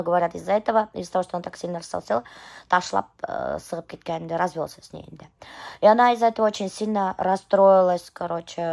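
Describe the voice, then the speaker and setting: A woman with a Bashkir accent chatting casually as a vlogger, switching between two languages in an animated, exclamatory way. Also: fairly low pitch, gravelly. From Bashkortostan, Russia.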